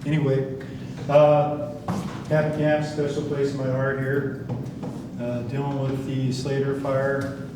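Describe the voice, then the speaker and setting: A man's voice: mid-range voice, slow. Folksy older man in an echoey hall. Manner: folksy, rambling, self-described monotone. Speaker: county department director. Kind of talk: town hall presentation. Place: community meeting hall. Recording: echoey hall, distant, noisy.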